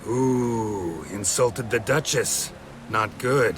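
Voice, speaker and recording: A man's voice: fairly low pitch, drawn-out words, background noise. A man voicing a dry, world-weary monster slayer in a video game, with terse, sardonic delivery. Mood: sardonic warning. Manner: terse, sardonic, clipped sentences. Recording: music behind the voice.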